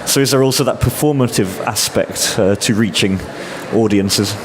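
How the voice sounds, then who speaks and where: A man's voice: lowish voice, normal speed. A man moderating a literature panel, asking long, thoughtful framing questions. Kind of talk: panel discussion. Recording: loud traffic noise behind.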